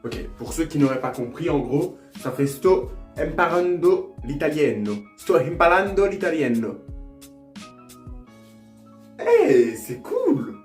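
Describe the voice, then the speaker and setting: A man's voice: a little high, huge pitch swings, slow pace, darkish tone. A young man vlogging casually, joking at his own expense and repeating phrases as he tries them out. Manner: playful, self-mocking, repeats phrases over and over. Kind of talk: casual vlog, trying out language-app phrases. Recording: echoey room with faint music.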